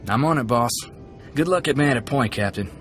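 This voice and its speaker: An actor playing a cocky, eager young gunslinger sidekick in a video game, folksy and plain-spoken. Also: male voice, medium pitch, expressive, a little fast, lightly acted. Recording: clear music behind the voice.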